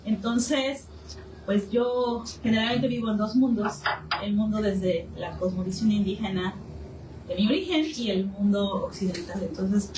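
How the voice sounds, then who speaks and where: A woman's voice: medium pitch, unhurried. A woman with a Mexican accent giving a spontaneous personal account as a participant in a community discussion. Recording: echoey room, distant mic, faint noise.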